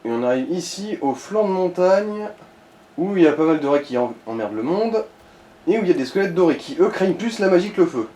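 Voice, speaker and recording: A man with a slightly high voice giving casual gaming advice. His wording is slangy and crude. The room echoes noticeably, with a faint noise in the background. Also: expressive, a little fast, dark tone. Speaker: co-commentator advising the player.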